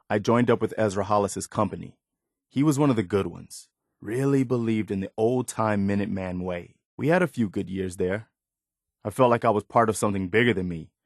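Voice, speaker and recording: Casting: male actor for idealistic wasteland militiaman, video game companion, lowish pitch, dry close sound, sincere and measured delivery. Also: no echo, natural voice. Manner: sincere, plain-spoken, measured. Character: earnest, idealistic militia soldier in a post-apocalyptic wasteland. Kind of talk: video game voice acting, naturalistic dialogue.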